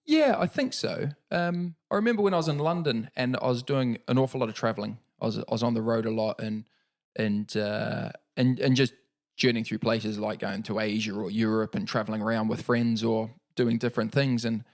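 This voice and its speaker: Gravelly New Zealand man, easygoing. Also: medium pitch, a little fast, darkish tone. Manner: easygoing, reflective, many fillers and restarts, laughs often. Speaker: guest, paralympic cyclist. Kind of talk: relaxed conversational interview.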